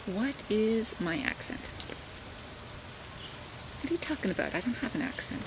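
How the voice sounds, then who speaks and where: Gravelly older Canadian woman vlogging. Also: fairly high pitch, lively intonation, moderate pace. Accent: Canadian, Southern Ontario. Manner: rambling, many fillers and asides. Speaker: vlogger and teacher. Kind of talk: casual chat to camera. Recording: home recording with clear background noise.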